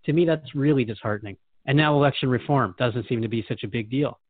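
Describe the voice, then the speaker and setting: Male radio host, brisk pace, earnest, inquisitive, conversational, dry close sound, muffled narrow band. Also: mid-range voice, no echo. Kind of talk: conversational scripted radio hosting.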